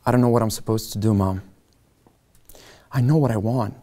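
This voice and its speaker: A man's voice: lowish voice, normal speed, mellow, close to an everyday voice. An actor playing an insecure, self-deprecating middle-aged man at a table read of a screenplay. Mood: confessional. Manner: earnest, hesitant, self-mocking.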